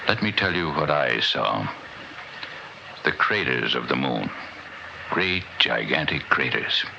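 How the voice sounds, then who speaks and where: An actor playing an older, haunted, philosophical scientist in a 1960s radio drama, gravelly and portentous in delivery. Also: male voice, rumbling low, expressive, heavily acted. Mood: haunted, intense. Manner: portentous, deliberate, dramatic storytelling. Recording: dull, noisy old radio recording.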